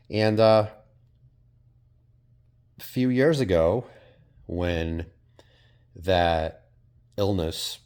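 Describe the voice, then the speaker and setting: Deep voiced guy from portugal rambling into his camera, lots of ums, swears casually. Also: darkish tone. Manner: rambling, hesitant, frequent fillers and casual swearing. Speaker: vlogger. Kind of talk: casual reflective monologue.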